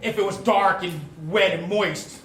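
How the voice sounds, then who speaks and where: A man's voice: sits high, steady tone, unhurried. A man improvising a detective who questions a suspect with teasing, chuckling hints on a live stage. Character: male detective interrogating a suspect. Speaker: improv performer. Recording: echoey stage, loud audience laughter and noise.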